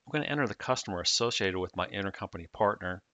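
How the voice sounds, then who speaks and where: Bright, low-voiced man narrating a tutorial. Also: lively intonation, rapid-fire, no room sound, highs cut off. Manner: plain, step-by-step explaining. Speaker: software demonstrator.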